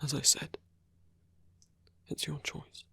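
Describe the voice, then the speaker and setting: An actor playing a brooding, protective male villain in love with his enemy, in an intimate audio roleplay with a breathy voice that often drops toward a whisper. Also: slow, pushed and bright, no echo. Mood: earnest, resigned. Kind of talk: ASMR roleplay audio drama, intimate monologue.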